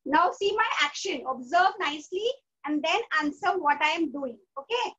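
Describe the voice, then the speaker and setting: A woman's voice: sits high, lively intonation, moderate pace, a bit bright, highs cut off. A woman teaching an online class for children, patient and repetitive, checking with "okay?" often. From India. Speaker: school teacher.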